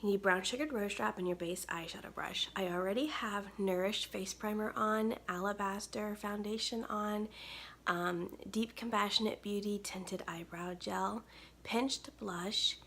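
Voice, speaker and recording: A woman in her thirties with a soft, weak, breathy voice, chatting casually through a makeup tutorial at home to a close webcam. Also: medium pitch, fairly even intonation, a little slow, a little hiss or hum. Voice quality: gravelly, breathy. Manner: soft-spoken, chatty, many ums. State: weak, tired voice. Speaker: makeup brand owner. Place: home studio, indoors.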